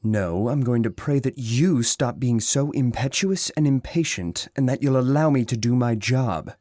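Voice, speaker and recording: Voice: medium pitch, expressive, moderate pace, mellow, no echo, lightly acted. A performer playing a dry, needling royal retainer in a fantasy audio drama, with a sardonic, formal manner.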